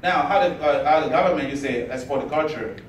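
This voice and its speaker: A man from Ivory Coast answering audience questions after his presentation, halting with many fillers and restarts. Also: on the high side. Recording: echoey room, far from the mic, faint noise.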